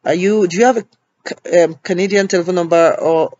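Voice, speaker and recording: A man calmly walking viewers through an online form step by step, pausing often with "um" as he explains each field. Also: sits high, no room sound, dull audio. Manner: patient step-by-step explaining with frequent ums. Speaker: tutorial host. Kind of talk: screen-recorded form walkthrough. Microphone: voice-over on a screen recording.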